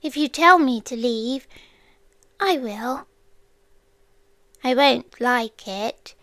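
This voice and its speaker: A British woman playing an ancient, possessive, inhuman stalker in an intimate ASMR roleplay, speaking in a hushed, deliberate, teasing way that slips into whispers. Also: a little high, dry recording, full character voice.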